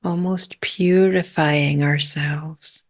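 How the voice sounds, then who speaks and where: A woman leading a guided meditation in a calm, unhurried manner, speaking in short phrases with long pauses. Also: deep voice.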